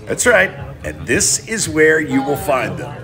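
A man's voice: a little high, wildly swooping pitch, measured pace, over music. A man hosting a travel show, narrating a scripted voice-over in an upbeat, enthusiastic way.

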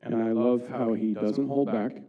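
A man's voice: mid-range voice, steady tone, unhurried, dull audio, crowd noise. A middle-aged man preaching a sermon as a pastor, plain-spoken and informal, reading scripture and repeating points for emphasis. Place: church sanctuary, standing at a wooden pulpit. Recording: reverberant church hall.

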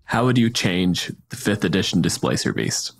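A man's voice: low-pitched, very animated, normal speed, gravelly, music in the background. A man chatting casually as a podcast host, explaining things in a conversational way. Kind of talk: casual tabletop game discussion.

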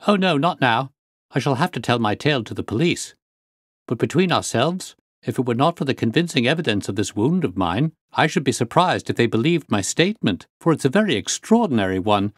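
Man acting agitated young engineer, pitched up. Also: big pitch swings, brisk, no echo, clean studio sound. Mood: relieved, earnest. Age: 20s. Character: agitated young engineer, injured, hearty and earnest. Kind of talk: audiobook character voice.

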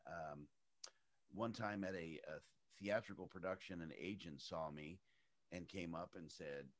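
Man, middle-aged, very low voice, animated storyteller, jumps up in pitch for quoted voices, casual interview. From United States, raised in Arizona. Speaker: actor, interview guest.

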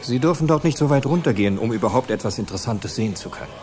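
Actor as dry, weary middle-aged scientist. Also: male voice, mid-range voice, lively intonation, very fast, darkish tone, soft background music, close to an everyday voice. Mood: polite, reluctant. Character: weary, dry-witted middle-aged male paleontologist, courteous but reluctant. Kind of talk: German-dubbed film dialogue.

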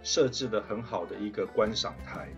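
Low-voiced man narrating over guitar music. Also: normal speed, muffled recording. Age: middle-aged. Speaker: travel vlogger. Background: plucked guitar music.

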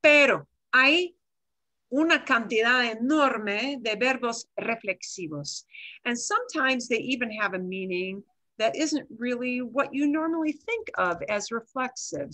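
Older woman, sixties, Spanish teacher, video call, headset mic, bright voice, patient explanations. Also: fairly high pitch, lively intonation, measured pace. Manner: mixes Spanish and English, explains patiently with asides. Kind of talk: online class teaching.

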